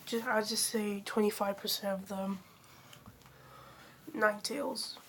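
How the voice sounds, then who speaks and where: A woman's voice: medium pitch, little pitch movement, slow, a little hiss or hum. A young woman hosting her channel, rambling through a casual fan theory with lots of fillers and self-corrections while she is losing her voice from being sick. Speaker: channel host.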